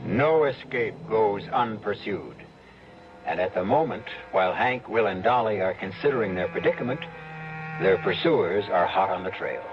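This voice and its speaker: A man playing a wry, ominous host narrating an old-time radio suspense drama in a measured, theatrical way. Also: lowish voice, animated, lightly acted. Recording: dull old radio recording. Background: dramatic music.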